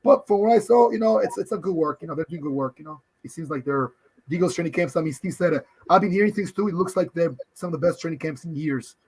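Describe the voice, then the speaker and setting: A hoarse man who is losing his voice, a podcast guest in casual chat who rambles and says "you know" a lot. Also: a little high, quick pace, a little mellow, poor audio.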